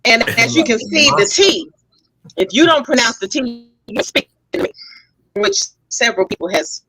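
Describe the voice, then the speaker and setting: Playful, teasing woman with a higher voice. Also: very animated, moderate pace, pushed and bright, husky, no room sound, poor audio. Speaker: talk show host and stage play actress. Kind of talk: lively casual group chat.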